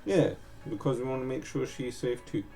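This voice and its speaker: A game streamer giving casual, laid-back commentary and chatting back to the characters on screen in a creaky voice. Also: mid-range voice, moderate pace, dark tone, soft background music.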